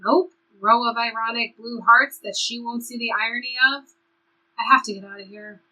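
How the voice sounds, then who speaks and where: Woman playing a bright-voiced, exasperated teenage girl. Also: fairly high pitch, fairly even intonation, moderate pace, low-quality recording. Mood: fed up. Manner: exasperated, emphatic, sarcastic. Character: frustrated seventeen-year-old girl, restless, sarcastic. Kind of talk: audio play reading, conversational teen dialogue.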